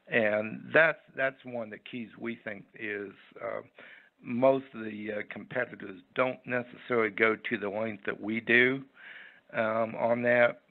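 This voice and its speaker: A low-voiced man answers interview questions off the cuff over a thin, muffled phone connection. His voice has a gravelly creak. He talks in a halting, rambling, folksy way, feeling his way through each explanation. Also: fairly even intonation. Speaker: interview guest, website owner. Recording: muffled, tinny phone line.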